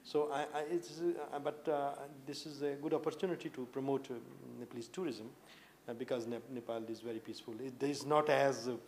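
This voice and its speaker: A man in his late thirties from India, with an Indian accent, answering interview questions earnestly with many halting fillers and restarts. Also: medium pitch, fairly even intonation, a little mellow, slight background noise. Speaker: poet guest. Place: indoor room with tapestries and a sofa.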